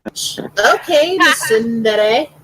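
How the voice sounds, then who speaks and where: A woman with a high, animated voice joins in casual group fan chat. Some light background noise and ambience sit behind her. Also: drawn-out words.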